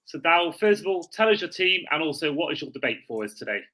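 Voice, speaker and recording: A man's voice: a little high, very animated, quick pace, pushed and bright. A man hosting a sports podcast in a casual, conversational way. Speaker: podcast host. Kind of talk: casual sports talk, introducing callers.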